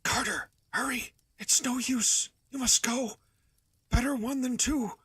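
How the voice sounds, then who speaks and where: A man voicing a terrified man trapped underground, yelling frantic, strained warnings from a distance in a horror story reading. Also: very high-pitched, fairly even intonation, measured pace, husky, no room sound, voice pushed up, exaggerated voice. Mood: frantic terror.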